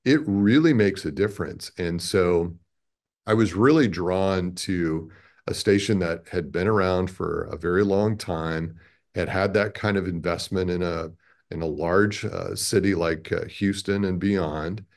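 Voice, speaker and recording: A man in his fifties giving measured, conversational answers as an interview guest, pausing as he thinks. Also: low-pitched, a little mellow. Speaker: radio station president, interview guest. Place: small indoor office, on a video call.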